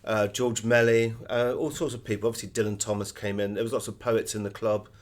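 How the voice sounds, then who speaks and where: A man's voice: on the low side, steady tone, brisk. A middle-aged British man being interviewed as an author, telling anecdotes in a conversational way.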